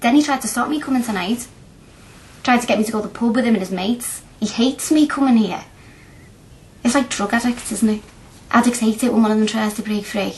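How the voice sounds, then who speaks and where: An actress playing a chatty, blunt young working-class student in a radio play, speaking colloquially and earnestly. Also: female voice, fairly high pitch, brisk, darkish tone, close to an everyday voice, low-quality recording. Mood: defiant, earnest.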